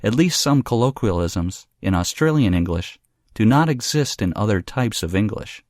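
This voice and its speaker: A man reading practice texts aloud in a formal, measured way. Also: lowish voice, lively intonation, a little mellow, no echo, studio quality. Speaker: reader of practice passages. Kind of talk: scripted read-aloud of study texts.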